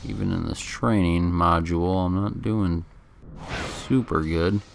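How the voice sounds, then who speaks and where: A man giving casual, laid-back, somewhat halting commentary as he plays a video game. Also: low voice, gravelly, a little hiss or hum. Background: game music.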